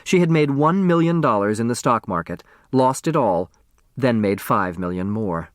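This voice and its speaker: A man's voice: mid-range voice, lively intonation, normal speed, no room sound, clean studio sound. A man reading a novel as a scripted audiobook narrator.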